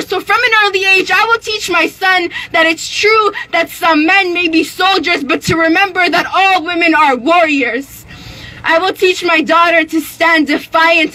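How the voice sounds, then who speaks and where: Teenage female spoken word poet, seventeen. Very high, bright voice, emphatic performed delivery. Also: poor audio. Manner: impassioned, emphatic, declamatory.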